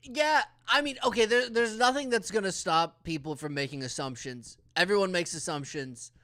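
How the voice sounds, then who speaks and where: Bright, chatty young man in podcast talk. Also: high-pitched, moderate pace. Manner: chatty, hesitant, leans on "like" as filler. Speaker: podcast co-host. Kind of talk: casual conversation.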